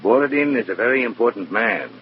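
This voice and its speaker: A man's voice: mid-range voice, expressive, quick pace, lightly acted. Man acting a terse, swooping communist comrade. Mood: grave, pressing. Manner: terse, weighty pronouncements. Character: grave, commanding communist party comrade. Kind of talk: mid-century radio drama acting. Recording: muffled, noisy old radio recording.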